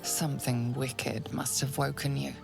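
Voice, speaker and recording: A gravelly ominous game narrator. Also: female voice, rumbling low, little pitch movement, over music, full character voice. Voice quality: gravelly, hushed. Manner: slow, intimate, second-person telling. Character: ominous female storyteller narrator. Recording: game score behind.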